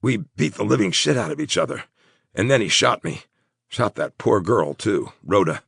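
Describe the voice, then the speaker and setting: Man acting a hoarse, groggy wounded tough guy. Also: on the low side, sing-song, a bit bright, dry recording, studio quality, pitched above the performer's own voice, exaggerated voice. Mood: shaken, intense. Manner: groggy, mumbling, halting.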